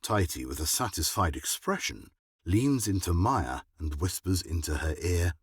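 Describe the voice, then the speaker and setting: A man narrates scripted drama in a deep, husky voice. He takes his time, measured and dramatic, with plenty of movement in his pitch. The sound is close and dry. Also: animated, no room sound.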